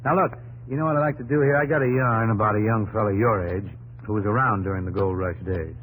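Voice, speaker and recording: A man's voice: lowish voice, fast, a bit bright, a little hiss or hum, natural voice, low-quality recording. A man playing a folksy yarn-spinning dad. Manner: folksy, easygoing. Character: folksy older father telling yarns. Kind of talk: 1950s radio drama acting.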